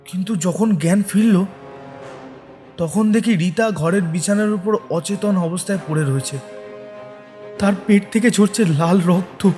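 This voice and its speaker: An actor playing a distressed man accused of murder in an audio drama, pleading and halting, nervously repeating his words. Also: high-pitched, darkish tone, faint music behind. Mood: shaken, anguished. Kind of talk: audio story drama acting.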